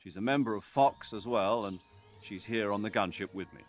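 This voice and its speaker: Measured, authoritative military commander played by a man. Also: lowish voice, lightly acted. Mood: calm, formal. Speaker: mission commander. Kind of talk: scripted video game radio briefing.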